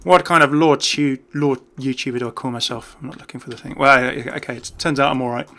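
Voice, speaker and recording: A man's voice: mid-range voice, steady tone, fast talker, a bit bright. A man giving casual, off-the-cuff game commentary as a YouTuber, chatting and thinking aloud as he goes. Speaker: gaming YouTuber.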